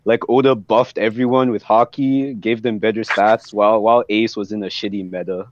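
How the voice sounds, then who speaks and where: A man's voice: on the low side, fairly even intonation, moderate pace. A man in a casual, slangy fan debate over online voice chat, swearing freely. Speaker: participant in an anime fan group discussion. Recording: muffled lo-fi voice chat.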